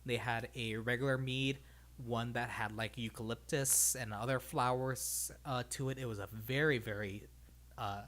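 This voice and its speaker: A read-along streamer with a medium-pitched voice. The delivery is expressive storybook narration, informal and unpolished, as on a live stream. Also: moderate pace.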